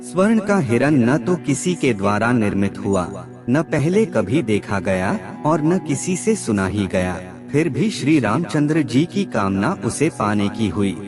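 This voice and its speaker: A man reading scripted moral aphorisms as a narrator, in a measured, formal recitation. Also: mid-range voice, sing-song. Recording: music bed under the voice. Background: background music.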